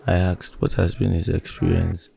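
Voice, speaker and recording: A man's voice: deep voice, monotone, moderate pace, gravelly, mellow. A man reading scripted narration for a documentary as the interviewer.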